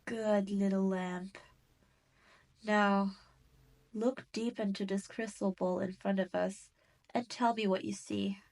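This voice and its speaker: A woman's voice: medium pitch, fairly even intonation, slow pace, vocal fry, pauses often, a little mellow. A woman playing a cruel, gloating demon queen in a scripted audio roleplay, condescending and taunting. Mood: teasing, condescending.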